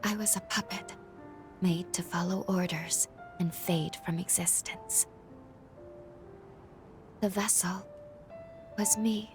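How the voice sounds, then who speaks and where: A woman playing a gentle, dutiful young heroine in a video game, speaking softly in formal, earnest, measured phrases. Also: on the low side, steady tone. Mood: solemn, resigned. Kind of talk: dramatic video game cutscene acting. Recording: game music behind the voice.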